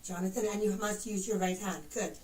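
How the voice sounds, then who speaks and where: A woman's voice: medium pitch, fairly even intonation, fairly quick. A woman teaching a piano lesson, explaining notes in an encouraging, patient manner. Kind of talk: instructional music lesson, demonstrating and counting notes. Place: indoors at a piano, room echo. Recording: roomy, distant mic, faint piano.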